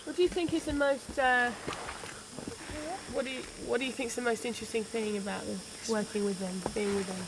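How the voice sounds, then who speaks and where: A woman presenting a wildlife show outdoors in the cold, curious and chatty, quick to laugh. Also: a little high, steady tone. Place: outdoors in snowy cold country. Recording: outdoor field noise.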